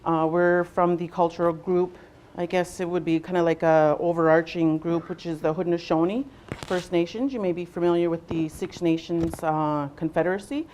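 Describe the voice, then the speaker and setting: Low-voiced woman presenting without a mic. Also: fairly even intonation, moderate pace, a little hiss or hum. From Southwestern Ontario, Canada. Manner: loud voice, unamplified, frequent ums and uhs. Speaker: workshop facilitator, nurse navigator. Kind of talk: presentation to a workshop audience. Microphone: no microphone, speaking to a room.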